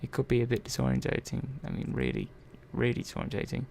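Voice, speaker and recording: Man acting as earnest robot technician, gravelly, dark soft voice, hesitant delivery, ASMR roleplay. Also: mid-range voice, big pitch swings, a little hiss or hum. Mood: cautious, reassuring. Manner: hesitant, halting, trailing off mid-sentence. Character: earnest, hesitant male robot repair technician, quietly protective.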